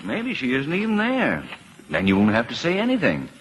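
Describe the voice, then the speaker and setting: A man's voice: medium pitch, wildly swooping pitch, moderate pace, a bit bright, a little hiss or hum. An actor playing a wry, folksy, fatherly government lawman in an old-time radio drama. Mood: wry teasing. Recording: old radio broadcast recording.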